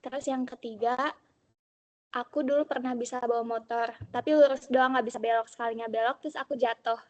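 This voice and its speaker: A woman's voice: fairly high pitch, fairly even intonation, a little fast, a little mellow, lo-fi sound. A young woman student from Banten, Indonesia, giving a casual, hesitant self-introduction, with a non-standard R. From Pandeglang, Banten, Indonesia. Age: young adult. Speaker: university student introducing herself. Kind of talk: informal self-introduction on a group call.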